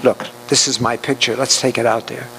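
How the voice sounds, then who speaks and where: A man's voice: mid-range voice, little pitch movement, sharp tone. A man with a mid-range voice puts questions to a panel of filmmakers and feels his way through each one. His voice carries a long hall reverb and a faint hum behind it. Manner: searching, halting questions. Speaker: panel moderator. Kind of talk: moderating a panel.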